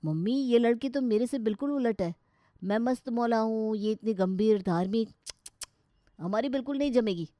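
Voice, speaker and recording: A woman's voice: fairly high pitch, normal speed, mellow, no room sound, close to an everyday voice. A woman voicing a carefree young Indian husband in a story, chatty, casual and complaining. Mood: protesting.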